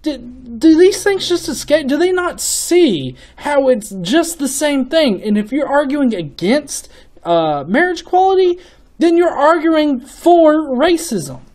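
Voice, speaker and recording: A man's voice: very high voice, very animated, unhurried, a little mellow. A young man hosting a casual commentary on viewer comments, rambling and swearing with many stuttered restarts. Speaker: host.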